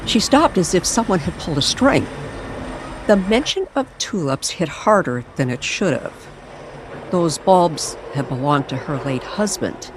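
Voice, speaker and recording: A woman narrating an eerie, nostalgic story as a storyteller, in a measured and dramatic manner. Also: fairly low pitch, expressive, background noise. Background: music under the voice.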